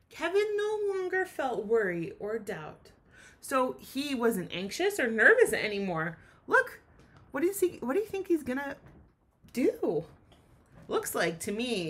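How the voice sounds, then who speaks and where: A woman teacher giving an expressive children's read-aloud lesson, pausing to ask questions and explain. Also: a little high.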